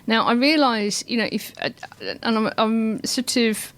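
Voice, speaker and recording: A woman's voice: fairly high pitch, fairly even intonation, measured pace, fairly bright tone. A woman hosting a radio show in a studio, friendly and joking, often restarting her sentences. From England. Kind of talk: casual radio interview hosting.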